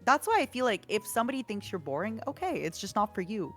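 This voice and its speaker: A woman streamer in casual conversation, encouraging and animated as she tells stories. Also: medium pitch, quick pace, faint music behind. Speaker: streamer guest. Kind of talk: casual podcast chat.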